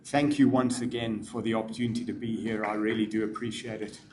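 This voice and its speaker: A middle-aged man with a South African accent giving measured welcome remarks at a symposium, with frequent ums. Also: lowish voice, little pitch movement, darkish tone, a little hiss or hum. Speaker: conference speaker, program manager.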